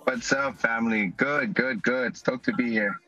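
Laid-back musician from Hawaii. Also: male voice, medium pitch, measured pace, dry recording, highs cut off, soft background music. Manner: relaxed, laid-back, hesitant with fillers. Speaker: musician guest. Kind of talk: casual interview answers.

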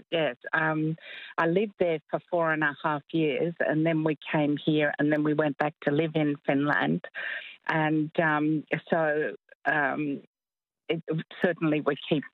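A woman's voice: low voice, steady tone, measured pace, dry recording. An Australian woman in her seventies calling in to a radio show, talking with hesitant ums and restarts. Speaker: caller. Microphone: phone line. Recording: tinny phone line, dry.

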